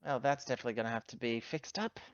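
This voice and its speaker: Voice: medium pitch, very fast, no echo. Dry, dull-sounding tabletop player chatting casually. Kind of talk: casual chat during roleplay game.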